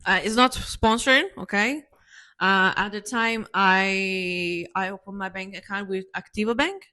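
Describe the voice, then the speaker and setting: Bright-voiced woman. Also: medium pitch. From Italy. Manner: halting, informal non-native phrasing. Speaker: co-host. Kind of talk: casual chat.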